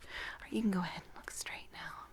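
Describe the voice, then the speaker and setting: A woman doing a soft-spoken ASMR roleplay as a makeup artist, breathy and whispered, giving gentle, reassuring instructions. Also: a little fast.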